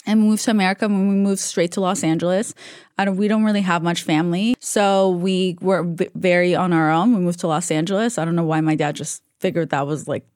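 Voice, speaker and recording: Low-voiced woman, chatty and candid. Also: fairly even intonation, fairly quick, darkish tone, dry recording, studio quality. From Russia, raised in Israel. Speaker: podcast guest. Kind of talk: casual interview chat.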